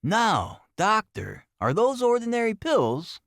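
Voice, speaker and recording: Man acting a high-pitched, excitable brilliant detective. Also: slow pace, creaky, no room sound, clean studio sound, heavily acted. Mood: brisk command. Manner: precise, commanding, excitable. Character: brilliant, intense, theatrical male Victorian detective.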